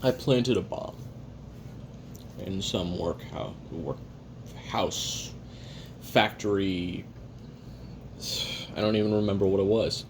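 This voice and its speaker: A man playing a weary, jaded assassin who records a private confession in a halting, sardonic, casually profane way while eating. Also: low-pitched, background noise. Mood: blunt, irritated. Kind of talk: first-person confessional audio roleplay monologue.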